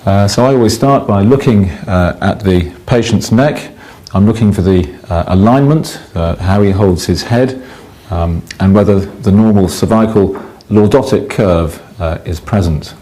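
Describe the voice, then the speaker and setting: A man with a deep, mellow voice gives an instructional medical explanation. He speaks as a teaching doctor, haltingly, breaking his phrases with frequent uhs. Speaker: doctor teaching examination technique.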